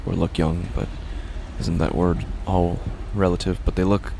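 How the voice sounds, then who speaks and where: An actor playing a weary, confused man who clings to rigid rules, narrating a horror monologue in a halting, repetitive, gravelly voice. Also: sits low, level tone, warm tone, slight background noise. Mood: uncertain, brooding. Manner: hesitant, halting, brooding, repeats himself. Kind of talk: first-person horror audio drama monologue.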